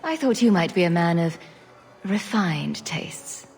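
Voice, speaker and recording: A woman's voice: on the low side, animated, measured pace, darkish tone, soft background music. An actress playing a confident female adventurer in a video game, with a dry, teasing, sardonic manner. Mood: seductive. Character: confident, dryly witty female champion adventurer.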